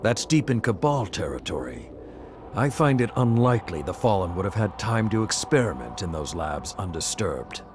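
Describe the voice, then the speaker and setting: An older man acting as a grave, steadfast veteran warrior lord in a cinematic game, speaking in a measured, formal way. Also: lowish voice, sing-song, noisy background. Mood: grave, resolute.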